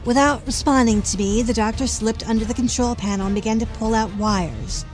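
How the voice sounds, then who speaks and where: Casting: actress as young female sidekick and narrator, slightly high voice, colloquial and sassy, clear music bed. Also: brisk, a little mellow, natural voice. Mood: dramatic narrating. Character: sassy, easily flustered young female companion, casual narrator. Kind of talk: first-person audio drama narration.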